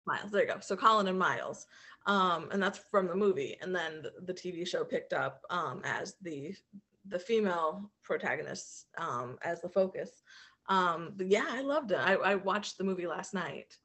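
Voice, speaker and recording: A woman co-hosting a podcast, chatting casually and haltingly as she thinks aloud. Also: fairly low pitch, lively intonation, a bit bright. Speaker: podcast co-host. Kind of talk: casual chat about shows and comics.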